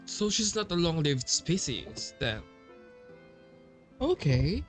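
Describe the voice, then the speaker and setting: A man's voice: on the high side, big pitch swings, takes it slowly. A man giving casual reaction commentary as a gamer during a playthrough. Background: game music.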